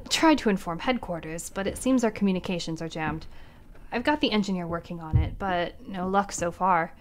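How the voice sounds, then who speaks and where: An actress playing a weary female ship captain recording her log in an audio drama, measured and uneasy. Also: medium pitch, a little mellow, slight background noise, close to an everyday voice. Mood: worried. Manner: measured, tired, increasingly uneasy.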